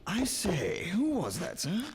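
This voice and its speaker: A timid, hesitant upper-class officer. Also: male voice, sits high, wildly swooping pitch, takes it slowly, over loud music, exaggerated voice. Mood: deferential. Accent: upper-class English. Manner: hesitant, deferential.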